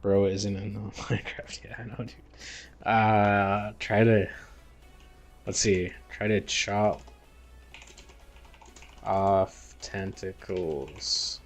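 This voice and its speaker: Male streamer, slightly low voice, very unhurried, slangy laid-back gamer chat. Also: steady tone, soft background music. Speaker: livestream host. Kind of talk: casual livestream chat while gaming.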